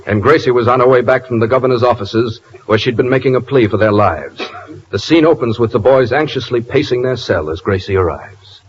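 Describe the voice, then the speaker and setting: A man's voice: medium pitch, a little fast. A man narrating as a radio announcer, setting a scene in 1940s style. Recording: dull, faintly noisy old radio.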